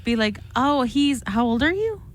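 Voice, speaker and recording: Animated woman co-hosting morning radio. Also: sits high. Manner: animated, reactive, quick to gasp and laugh. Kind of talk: casual morning radio banter. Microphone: studio microphone.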